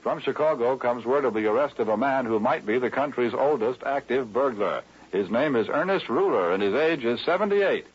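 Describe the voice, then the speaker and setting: A man's voice: medium pitch, animated, moderate pace, husky. An American man reading a 1950s radio newscast as the announcer. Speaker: news announcer. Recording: muffled vintage radio recording.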